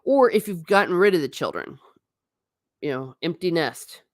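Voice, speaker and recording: Middle-aged lady rambling on stream, lowish voice, close dry mic. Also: expressive, normal speed, no room sound. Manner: chatty, rambling, self-deprecating. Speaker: streamer showing travel photos. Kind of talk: casual stream commentary.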